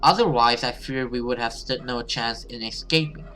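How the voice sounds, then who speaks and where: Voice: mid-range voice, normal speed, a bit bright. A gaming video host who reads a horror story dramatically and adds casual commentary.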